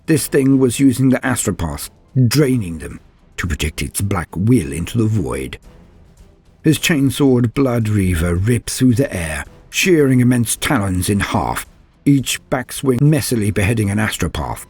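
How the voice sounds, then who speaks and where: A man's voice: fairly low pitch, big pitch swings, a little slow, a little mellow, husky, soft background music. A man giving a grave, theatrical reading of science fiction war lore as a scripted dramatic narration.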